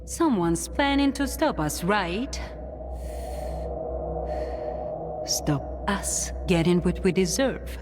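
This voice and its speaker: An actress playing a coolly persuasive, calculating woman of authority in a video game, speaking in a measured, politely menacing way. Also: medium pitch, expressive. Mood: probing. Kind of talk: video game cutscene acting. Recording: long hall reverb, music underneath.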